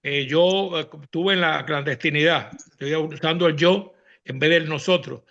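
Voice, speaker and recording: Elderly Cuban man, veteran activist; high creaky voice, halting, emphatic delivery, muffled lo-fi sound. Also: a bit bright. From Cuba. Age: 80s. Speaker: veteran exile activist, panel guest. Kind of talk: speech recounting personal and political history.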